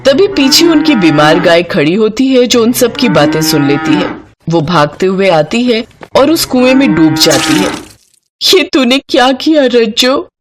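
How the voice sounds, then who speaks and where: A woman storyteller reading a scripted bedtime moral tale in an expressive narrating style. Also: mid-range voice, moderate pace.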